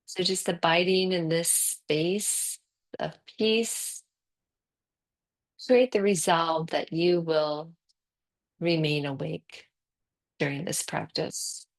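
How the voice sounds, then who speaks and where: A woman leads a guided relaxation as a yoga nidra teacher. Her voice sits slightly low and moves very slowly. She gives calm, soothing instructions in short phrases, leaving long pauses between them.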